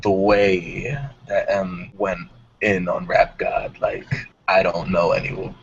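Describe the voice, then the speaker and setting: A man's voice: low voice, flat delivery, slow, creaky, slight background noise. A man hosting a music discussion show, talking casually with his panel. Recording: dull audio with music behind.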